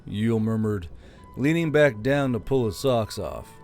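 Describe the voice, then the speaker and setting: A man's voice: medium pitch, lively intonation, unhurried, a little mellow. A man reading a fantasy novel aloud as a scripted audiobook narrator. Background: background music.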